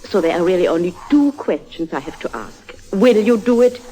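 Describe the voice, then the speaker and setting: An actress playing an anxious wife desperate to flee, earnest and hesitant, in an old-time radio drama. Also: female voice, on the low side, lively intonation, fairly quick, dark tone. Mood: anxious pleading.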